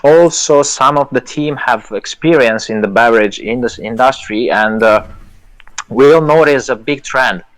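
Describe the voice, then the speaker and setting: A man's voice: medium pitch, moderate pace, poor audio. A man whose first language is Italian, a project lead speaking as a guest in a live audio chat, hesitant with many fillers and restarts. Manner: earnest, self-deprecating with light laughs.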